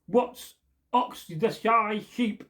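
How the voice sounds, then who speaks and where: Threatening false knight from an old ballad, read by a man, slow and probing. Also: high-pitched, sharp tone, full character voice. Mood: menacing. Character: menacing false knight on the road.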